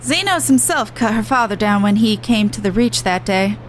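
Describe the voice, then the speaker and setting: A woman's voice: mid-range voice, animated, normal speed, a little hiss or hum. A woman game streamer reading dialogue aloud with chatty reactions, stumbling and correcting herself as she goes. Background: video game music.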